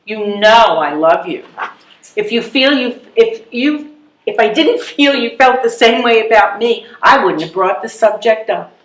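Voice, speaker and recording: A woman's voice: a little high, animated, measured pace. A woman playing a blunt, wry and determined elderly widow in a readers theatre comedy, full of pointed questions. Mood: earnest. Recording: echoey live room recording.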